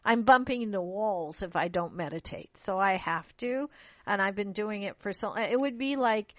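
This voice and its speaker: A woman's voice: medium pitch, animated, normal speed, no echo. A woman who grew up in London, hosting a radio interview in an enthusiastic, chatty way and often restarting her sentences. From born in Pakistan, grew up in London. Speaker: radio show host. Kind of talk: casual interview chat. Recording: dull lo-fi radio audio.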